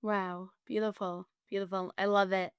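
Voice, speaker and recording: A woman hosting a podcast interview, asking questions and reacting softly. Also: mid-range voice, flat delivery, normal speed, clear, resonant tone, dry recording. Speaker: podcast host.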